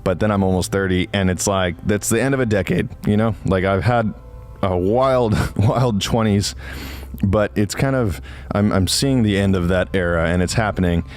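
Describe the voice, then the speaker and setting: A man in his late twenties giving a casual, confessional monologue, rambling and often halting and interrupting himself. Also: sits low, darkish tone, soft background music. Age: late 20s.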